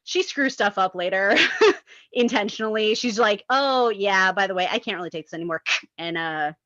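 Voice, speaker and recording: A woman's voice: mid-range voice, moderate pace, fairly bright tone, no echo, muffled recording. A woman in a casual group discussion, talking at length as a chatty, emphatic explainer. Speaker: panelist discussing a film and its source book.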